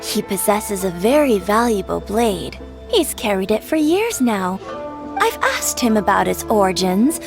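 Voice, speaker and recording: A woman's voice: medium pitch, very animated, a little slow, creaky. A woman playing a polite, composed and shrewd grocery shopkeeper in a video game, courteous and explanatory in manner. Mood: wistful. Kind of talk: video game dialogue acting. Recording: faint music behind the voice.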